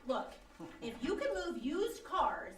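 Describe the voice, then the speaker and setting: Mock-medieval queen: bossy, regal, high-pitched, radio comedy style, captured at a distance. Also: big pitch swings, slow pace, heavily acted. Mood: urgent, scheming. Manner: regal, self-important. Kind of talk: old-time radio comedy acting. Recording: roomy distant live stage, music behind.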